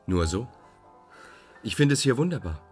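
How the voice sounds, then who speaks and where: An actor playing an enigmatic, evasive male stranger in a German radio play, speaking in a cool, terse, deadpan manner. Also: medium pitch, normal speed, close to an everyday voice. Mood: evasive, amused. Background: music.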